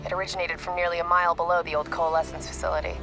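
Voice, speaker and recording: A woman's voice: on the low side, level tone, very fast, music in the background, natural voice. A woman playing a composed military intelligence operative in a video game, with a clipped, businesslike delivery. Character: brisk, composed female military intelligence operative on mission comms. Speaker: mission handler. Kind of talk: video game acting, tactical briefing.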